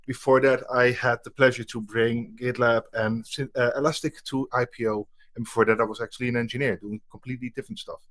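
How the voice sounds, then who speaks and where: A man's voice: medium pitch, level tone, fast talker, few pauses, a bit bright. A man, a guest on a video call, introducing himself in short clipped sentences. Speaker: guest, technical recruiter. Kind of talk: casual video-call chat.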